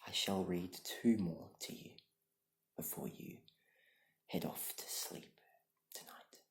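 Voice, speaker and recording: A young adult reading bedtime stories in a soft-spoken, partly whispered ASMR style, with a gentle, deliberate and hushed delivery. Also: steady tone. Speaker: ASMR storyteller. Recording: low-quality, echoey room recording.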